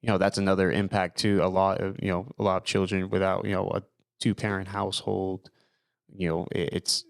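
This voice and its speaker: Young male host, low voice, dry close sound, loose and rambling. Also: flat delivery, fairly quick, vocal fry, clean tone, no room sound. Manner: rambling, many fillers and restarts. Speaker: podcast host. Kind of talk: casual interview chat.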